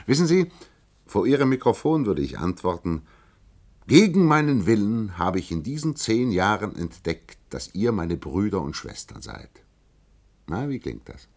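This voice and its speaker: A man's voice: fairly low pitch, sing-song, moderate pace, darkish tone. An actor in a science-fiction radio play voicing a proud, vain alien researcher who poses as a human salesman and speaks with earnest, pompous emphasis. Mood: wry, confiding.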